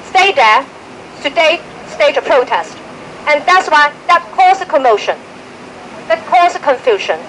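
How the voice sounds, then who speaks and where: Woman spokesperson, high voice, measured, faint outdoor hum. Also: a bit bright, low-quality recording. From Hong Kong. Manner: measured, point by point. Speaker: press conference spokesperson. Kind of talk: formal prepared statement. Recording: outdoor press event with faint street noise.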